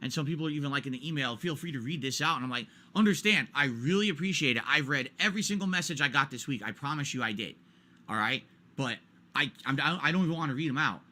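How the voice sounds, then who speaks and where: A man's voice: mid-range voice, fast talker, pushed and bright. A man in his forties ranting during a stream, exasperated and repetitive, hammering his points home. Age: 40s.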